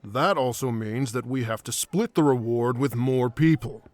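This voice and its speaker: A man playing a grumpy, skeptical adventurer in a fantasy audio drama, blunt, gruff and grudging. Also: medium pitch, moderate pace, a little mellow, dry recording, natural voice.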